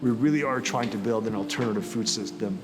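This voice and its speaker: A man from Israel, founder of an urban farming company, explaining his mission in an earnest, measured way. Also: lowish voice. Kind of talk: interview-style talk to camera. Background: background music.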